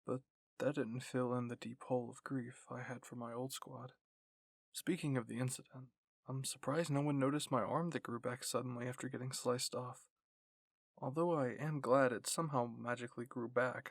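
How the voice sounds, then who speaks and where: A man reading a scripted first-person story in ASMR style, softly and in measured phrases. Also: medium pitch, fairly even intonation, vocal fry, no room sound. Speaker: narrator and reader.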